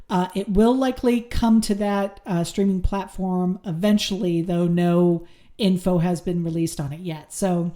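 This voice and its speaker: A woman's voice: medium pitch, dark tone. Chatty woman podcaster, losing her voice. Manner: chatty, lots of ums and restarts. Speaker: podcast host. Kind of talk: casual solo review and news.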